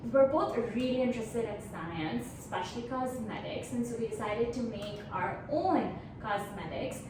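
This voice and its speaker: A young woman from Pakistan with a Pakistani accent, co-hosting and explaining a science lesson in an earnest way, with frequent ums. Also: on the high side. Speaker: co-host, chemistry graduate student. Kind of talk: casual educational chat. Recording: echoey room, mic far off, noisy.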